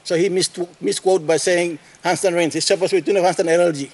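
Brief: man with a high voice, hesitant correction from the floor. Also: fairly even intonation, fast talker, darkish tone. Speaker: member of parliament raising a point of order.

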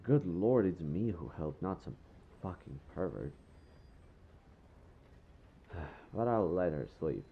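A man's voice: deep voice, very animated, slow, pauses often, breathy edge, narrow, tinny audio, heavily acted. A man acting a kind, teasing young stranger who guides a lost girl, speaking casually to the listener in an intimate roleplay audio drama. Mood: quiet, fond. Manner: casual, playfully teasing, mildly awkward, swearing.

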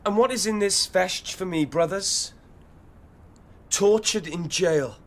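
An actor playing an insolent, volatile teenage street thug in a dystopian radio drama, speaking theatrical slang. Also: male voice, high voice, slow. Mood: cocky, aggrieved. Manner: theatrical slang-heavy speech. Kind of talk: radio drama acting and narration.

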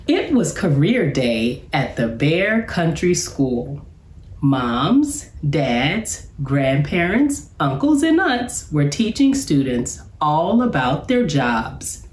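A middle-aged woman, a teacher, reading aloud to children in an expressive, deliberate storytelling way. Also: low-pitched. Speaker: school teacher reading to pupils. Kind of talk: animated children's read-aloud. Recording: roomy, echoing indoor sound.

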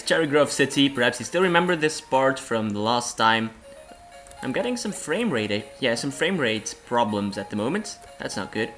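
Hoarse young man with a cold. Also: medium pitch, fairly bright tone. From Belgium. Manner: rambling, self-deprecating, laughs often. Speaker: let's play host. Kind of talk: casual game commentary.

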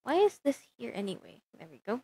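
A woman's voice: on the high side, big pitch swings, fairly quick, creaky, warm tone, husky. A young woman from the Philippines, a VTuber chatting casually with her stream audience while she works, giggling often and filling gaps with ums. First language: Tagalog. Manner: chatty, giggly, lots of fillers. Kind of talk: casual live-stream chat while working.

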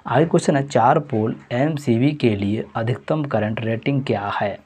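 A man with a husky, slightly breathy voice works through a mock test as a teacher. His pitch sits in an ordinary middle range. He repeats the answers and keeps calling his viewers friends. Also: moderate pace, darkish tone. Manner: repetitive, addresses viewers as friends. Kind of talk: exam question walkthrough.

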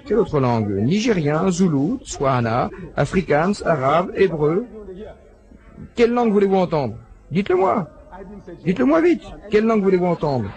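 A man voicing a lecture in a translated voice-over, telling anecdotes with animated delivery and acting out the quoted dialogue. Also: sits high, moderate pace, a crowd in the background.

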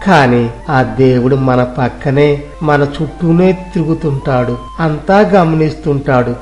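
A poor, devout old man from a village tale, acted by a man consoles with gentle wisdom. His aged voice sits in an echoey space, with soft music behind it. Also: fairly high pitch, measured pace, lightly acted. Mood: grateful, serene. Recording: roomy echo, music behind.

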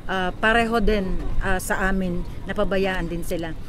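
A woman's voice: medium pitch, steady tone, normal speed. An older woman, speaking as an activist spokesperson in an impassioned press interview into a handheld microphone. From Philippines. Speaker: activist, disaster survivor spokesperson. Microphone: handheld reporter microphone. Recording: street crowd and traffic noise.

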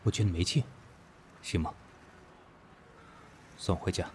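Man acting proud low-voiced businessman, curt. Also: unhurried, background noise. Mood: cool, guarded. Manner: curt, dry, exasperated. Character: proud, weary, sharp-tongued businessman, guarded lover. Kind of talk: audio drama acting.

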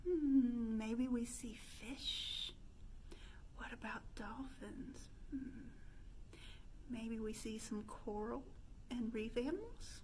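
Female children's storyteller, high voice, unhurried sing-song delivery, questions to little listeners. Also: a little mellow, slight background noise, poor audio. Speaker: children's librarian hosting storytime. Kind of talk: children's storytime, interactive pretend play. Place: indoor room with stone fireplace.

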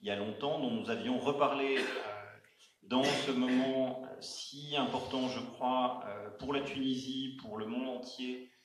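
A man moderating a round-table discussion in an auditorium, speaking haltingly with many fillers and restarts. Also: medium pitch, fairly even intonation, crowd noise. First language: not Arabic. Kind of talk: hesitant spoken introduction at a panel. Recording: echoey auditorium sound.

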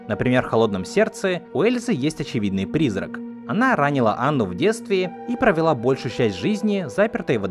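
A man narrating a scripted explanatory video essay in a measured, didactic manner. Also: medium pitch. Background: background music.

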